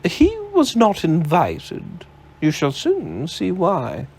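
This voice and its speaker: Brief: composed lady scientist, gravelly and husky, as a male narrator puts her on. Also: on the high side, wildly swooping pitch, slow pace, a little mellow, pitched above the performer's own voice, full character voice. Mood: gracious. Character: poised, sharp-minded woman scientist.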